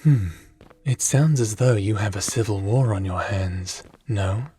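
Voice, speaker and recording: A low-pitched, seasoned adventurer played by a man in an ASMR audio drama, with music underneath. He is wry and caring, speaking softly with measured, gently theatrical phrasing. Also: slightly rough. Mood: wary, wry. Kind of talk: ASMR audio roleplay acting.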